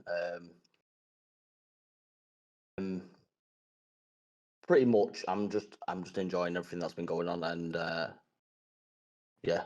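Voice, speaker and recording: A man calling into a live coaching session, hesitant and chatty with many pauses and fillers. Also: deep voice, a little mellow. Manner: hesitant, many ums, laughs easily. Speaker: caller. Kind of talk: casual chat on a call. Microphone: remote call connection. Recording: dull, muffled call audio.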